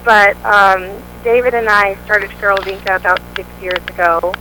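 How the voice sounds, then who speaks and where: Bright-voiced woman gabbing about her band, lots of ums, faint hiss behind. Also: mid-range voice, little pitch movement, normal speed, rough recording. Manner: upbeat, chatty, many fillers. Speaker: band vocalist, interview guest. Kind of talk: casual interview answers.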